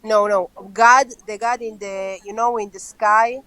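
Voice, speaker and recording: A woman's voice: mid-range voice, fairly even intonation, unhurried, a bit bright, no room sound, low-quality recording. A young woman from Israel with an Israeli accent, answering curtly and defensively on a video chat. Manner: curt and defensive. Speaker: soldier in a chat.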